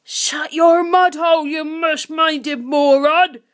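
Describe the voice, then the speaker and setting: A woman voicing a gruff, bossy male monster in a children's story, barking insults in broken rustic grammar. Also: a little high, steady tone, normal speed, natural voice. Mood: snapping.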